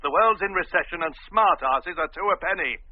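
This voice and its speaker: An actor playing a bossy, exasperated theatrical agent, sardonic and scolding through a telephone filter in a comic radio drama. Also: male voice, high-pitched, lively intonation, fairly quick. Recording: tinny, band-limited phone line.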